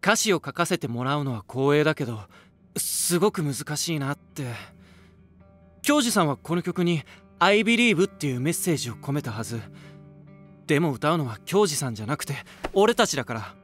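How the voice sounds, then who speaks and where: A man's voice: a little high, expressive, normal speed, a bit bright, soft background music. An actor playing an earnest, polite young male rock vocalist in an anime voice drama, serious and youthful in manner. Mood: hesitant, serious. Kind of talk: Japanese anime voice drama acting.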